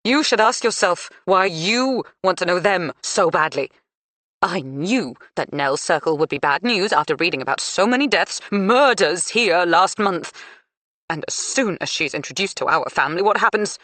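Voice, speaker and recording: A woman voicing a scornful, bitter middle-aged mother, shrill and accusing. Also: expressive, normal speed, fairly bright tone, dry recording.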